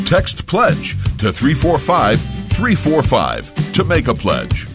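A man giving a scripted radio promo in an animated, lively voice. Clear guitar music plays behind him the whole time. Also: mid-range voice, takes it slowly. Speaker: station announcer.

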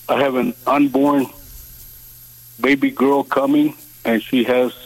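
A man in his seventies calling in to a radio show, speaking slowly and earnestly, with many pauses, to share scripture and prayer requests. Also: medium pitch, darkish tone, no echo, low-quality recording. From Texas. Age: 70s. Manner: slow, halting, earnest. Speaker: caller.

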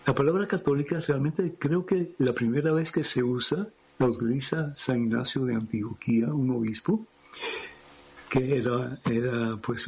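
A priest teaches on a radio show in a husky, slightly breathy voice, explaining patiently and checking in with listeners through rhetorical questions. The recording sounds muffled and dull. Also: male voice, mid-range voice. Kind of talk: radio teaching talk on faith.

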